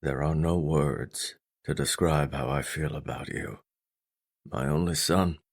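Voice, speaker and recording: Man voicing a very deep, stern, intimidating father. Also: steady tone, heavily acted. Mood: stern, tender. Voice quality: deep, low, weighty voice. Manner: grave, deliberate, restrained. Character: stern, intimidating middle-aged father, gruff but loving.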